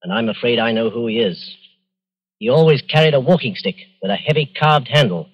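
Old-time radio role: confident, well-bred Englishman, lively intonation with some rasp. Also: medium pitch, brisk, highs cut off. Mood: grave, urgent. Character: breezy, self-assured upper-class English gentleman.

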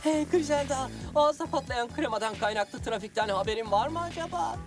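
A woman voicing an excitable, reluctant little cat sidekick in an animated children's series, fussy and expressive. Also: high voice, fairly quick, close to an everyday voice. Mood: flustered. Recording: music behind. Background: music.

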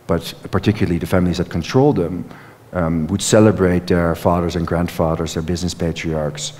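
A man's voice: low voice, flat delivery, a little fast, darkish tone. A Dutch man in his thirties, an author answering questions on stage, talking fluently with many fillers and restarts into a headset mic. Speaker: author and former reporter. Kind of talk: onstage conversation answering questions. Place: stage in a lecture hall. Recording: lecture hall with long reverb.

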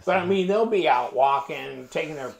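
A man's voice: on the high side, animated, normal speed, gravelly. An American man in his sixties chatting casually in a folksy, salty, rambling way. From northern United States. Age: 60s. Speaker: knife channel host. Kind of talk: casual chat. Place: small home office indoors. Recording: roomy, echoey indoor sound.

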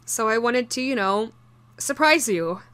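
A woman voicing an obsessive shapeshifting doppelganger posing as someone's ex, speaking haltingly in an intimate horror audio roleplay. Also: on the high side, lively intonation, clear, resonant tone. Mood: flustered, pleading. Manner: halting, stammering, soft-spoken in menace.

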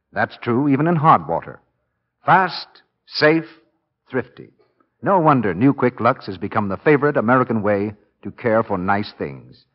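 A man announcing in a polished, emphatic 1940s radio commercial style. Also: mid-range voice. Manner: emphatic, polished sales delivery. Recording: muffled old 1940s radio recording.

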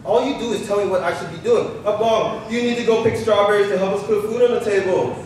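A man's voice: high voice, fairly even intonation, fast talker, darkish tone, close to an everyday voice. A man on stage playing a wounded, resentful grown son in a staged reading, speaking in an accusing, aggrieved way. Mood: angry, accusing. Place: large echoey public hall with glass balcony. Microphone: handheld microphone, heard through room speakers. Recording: echoey hall, distant, faint noise.

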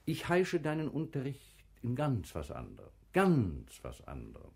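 A man playing a proud, probing sultan in a classical radio drama, with theatrical, deliberate, commanding phrasing. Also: mid-range voice, huge pitch swings, darkish tone, husky, full character voice. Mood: probing, lofty. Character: proud, probing male sultan of crusade-era Jerusalem. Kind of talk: classical stage verse radio drama acting.

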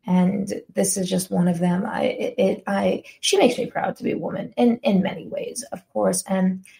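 A woman's voice: mid-range voice, fairly even intonation, moderate pace, creaky, dark tone. A young woman hosting a solo music podcast, talking in a chatty, enthusiastic way and often correcting herself mid-sentence. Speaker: podcast host. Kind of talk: casual solo podcast talk.

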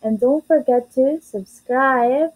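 A woman's voice: high voice, slow pace, a little mellow, poor audio. A woman who works as an online English tutor, reading a scripted tutorial and self-introduction in a careful, presentational way.

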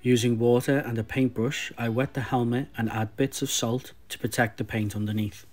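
Soft-spoken young man with a sore throat. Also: mid-range voice, fairly even intonation, normal speed. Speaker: toy customizer host. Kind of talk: casual tutorial narration.

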